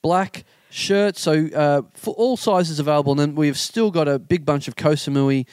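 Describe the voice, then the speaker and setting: A man's voice: on the high side, moderate pace, a little mellow, smooth, no echo. An Australian man co-hosting a comedy podcast, teasing his partner in a dry way. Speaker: podcast co-host. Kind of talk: casual chat.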